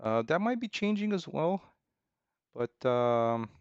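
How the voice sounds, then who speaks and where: A man talks off the cuff in a smooth, clean voice at a middling pitch. He feels his way through each thought, hesitant and rambling, often repeating himself. The sound is close and dry, though a little dull, like a casual stream from a desk. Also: sing-song, takes it slowly, dry recording. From Romania. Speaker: game developer streaming. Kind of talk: casual unscripted chat, answering viewers' questions.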